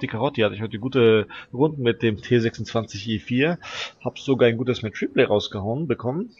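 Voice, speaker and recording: A man's voice: mid-range voice, highs cut off, a little hiss or hum. A teenage boy with a stuffy nose from a cold, chatting casually as he comments on his own gameplay for viewers. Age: teens. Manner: chatty, relaxed, talks to viewers. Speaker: gaming channel host.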